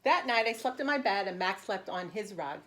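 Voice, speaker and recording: A woman preschool teacher reading a storybook aloud in an animated, simple, child-directed way with expressive emphasis. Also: mid-range voice, a little fast.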